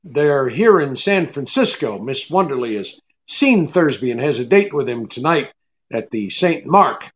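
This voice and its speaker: An actor playing a cool, brisk, sardonic private detective in an old-time radio drama, with a clipped, take-charge manner. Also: male voice, mid-range voice, big pitch swings. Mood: businesslike. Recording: dull lo-fi radio-play recording.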